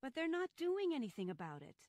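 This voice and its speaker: A woman's voice: high voice, very animated, fast talker, darkish tone, no room sound. A woman playing a resolute, compassionate 1960s housewife and activist in an old-time radio style drama, speaking earnestly and emphatically. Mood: impassioned.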